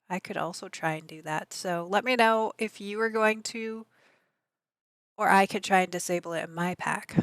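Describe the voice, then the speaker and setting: A woman streamer with a slightly raspy voice, chatting casually and answering her viewers. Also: mid-range voice, a bit bright, no room sound, clean studio sound. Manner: rambling, chatty, answers chat messages aloud. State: allergies. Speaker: game streamer.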